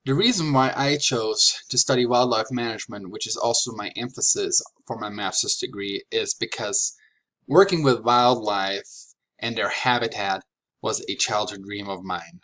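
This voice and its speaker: A man from Germany giving a prepared slide presentation as a graduate student, with deliberate, halting phrasing and pauses. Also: fairly low pitch, bright, forceful tone, low-quality recording. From Franconia, Germany.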